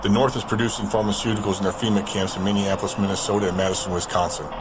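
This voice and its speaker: Swenson, a man playing a steady leader. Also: on the low side, very fast, very noisy, natural voice. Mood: matter-of-fact. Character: Swenson, male community leader heading out on a supply run. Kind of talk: audio drama acting.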